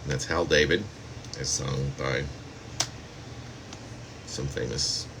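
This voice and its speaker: A man with a very deep voice reads his own poetry aloud. It is a poet's recitation, recorded plainly with a faint hum of air conditioning behind the words. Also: fairly even intonation, takes it slowly. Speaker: poet. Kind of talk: poetry reading of own work.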